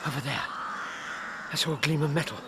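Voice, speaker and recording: An earnest, higher-voiced sidekick. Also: male voice, brisk, gravelly, noisy background. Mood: alarmed, grave. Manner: plain-spoken, sceptical, questioning. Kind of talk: British period detective drama acting.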